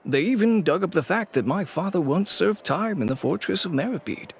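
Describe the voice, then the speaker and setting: An actor playing a weary middle-aged fashion shop owner and cautious father, speaking in a measured, reluctant way in a video game. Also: sits high, lively intonation, vocal fry, slight background noise. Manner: measured, reluctant, lightly sighing.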